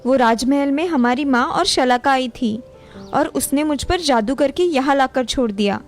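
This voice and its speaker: A woman playing a gentle young princess and devoted sister in a children's fairy tale. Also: sits high, moderate pace, natural voice. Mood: sweet, relieved. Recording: long hall reverb, music behind.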